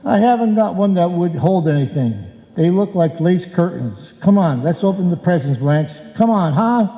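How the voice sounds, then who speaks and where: Echoey live hall, higher-pitched mellow male voice, actor as exasperated henpecked husband, wisecracking, argumentative, old-time radio comedy. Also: animated, moderate pace. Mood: impatient.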